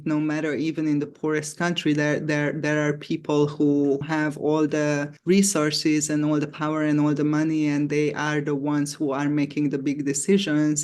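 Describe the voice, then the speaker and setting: A man's voice: fairly high pitch, darkish tone. A man in his forties from Hungary, speaking English with a Hungarian accent, hosting a reflective solo podcast with frequent "you know" fillers. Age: 40s. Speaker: podcast host.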